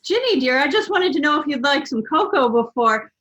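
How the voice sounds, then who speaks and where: A woman voicing a stern middle-aged witch mother who scolds in a high, shrill voice. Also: sing-song, fairly quick, fairly bright tone, muffled recording. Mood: sweet.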